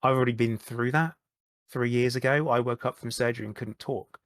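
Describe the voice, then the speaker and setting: A man, a podcast guest, talking candidly in a halting way, often repeating words and starting over. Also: medium pitch, steady tone, fairly bright tone, no room sound, studio quality. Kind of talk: candid conversational chat.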